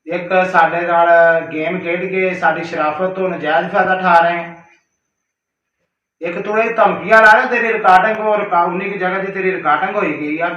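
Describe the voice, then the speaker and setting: A man's voice: high voice, a bit bright. A man delivering an aggrieved personal monologue, reproachful and emphatic, repeating himself as he addresses someone directly. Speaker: caller leaving a recorded personal message. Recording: roomy, distant, dull call recording.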